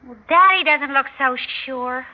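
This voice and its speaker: Very high-voiced, insistent little kid. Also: female voice, measured pace, slight background noise. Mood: curious. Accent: American. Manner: eager, questioning, pleading. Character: young child, inquisitive, insistent and whiny. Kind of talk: old-time radio drama acting. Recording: dull, band-limited vintage radio recording.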